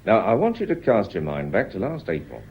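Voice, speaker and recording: An actor playing a shrewd, genial older police inspector in a radio drama, courteous, probing and drily understated, with a husky voice. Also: male voice, fairly low pitch, wildly swooping pitch, a little fast, full character voice. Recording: muffled old radio drama recording.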